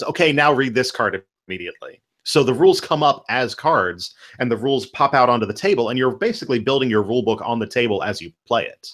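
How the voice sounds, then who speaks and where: A man's voice: medium pitch, a bit bright. A man in his thirties eagerly explaining how a card game works in a casual video-call chat, laughing easily. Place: home room. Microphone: headphones with studio mic on a video call.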